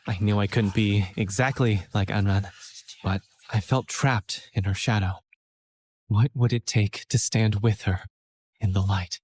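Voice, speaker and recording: An actor playing an earnest, self-doubting young college student who narrates his own story in a scripted animated game film. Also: lowish voice, unhurried, no room sound. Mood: reflective, downcast. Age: young adult. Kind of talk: scripted first-person narration.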